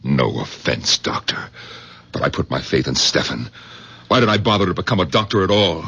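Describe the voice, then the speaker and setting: An actor playing an irritable, excitable older doctor in a radio drama, husky, sardonic and emphatic in delivery. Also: male voice, low voice, normal speed, exaggerated voice. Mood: exasperated. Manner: exasperated, emphatic, theatrical. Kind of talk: old-time radio drama acting. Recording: dull lo-fi radio drama recording.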